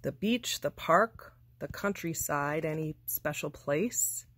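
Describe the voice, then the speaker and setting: Slow, very lively woman reading a storybook. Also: sits low, husky. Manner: deliberate, expressive, measured phrasing for children. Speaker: storybook reader. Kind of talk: children's picture book read-aloud.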